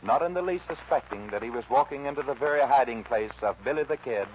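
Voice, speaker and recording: A slightly dull vintage radio recording with faint noise carries a man's dramatic narration. His medium-pitched American voice is solemn and theatrical, in the scripted manner of 1940s westerns. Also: animated, fast.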